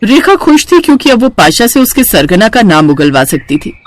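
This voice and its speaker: A woman's voice: fairly high pitch, very animated, normal speed, a little hiss or hum. A woman reading a scripted story as its narrator.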